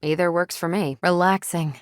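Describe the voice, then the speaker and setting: Actress for video game superheroine, ex-military pilot: American, slightly low register, self-assured, dry teasing wit, very dry recording. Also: female voice, steady tone, moderate pace, no room sound, lightly acted. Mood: relaxed, teasing. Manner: casual, dry, teasing confidence. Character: confident, wry female superhero and former military pilot. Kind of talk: video game character dialogue.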